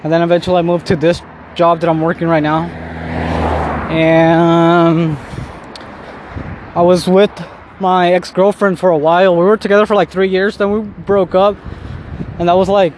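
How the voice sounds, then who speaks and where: A man's voice: high-pitched, little pitch movement, moderate pace, pauses often. A man in his thirties, born in Mexico and raised in Southern California, talking to himself in a loose, rambling way with lots of fillers while walking home. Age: 30s. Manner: rambling, frequent fillers and restarts, self-deprecating. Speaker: vlogger. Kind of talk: casual walking monologue. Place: outdoors on a street, walking home. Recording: outdoor street ambience with traffic. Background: faint street and traffic noise.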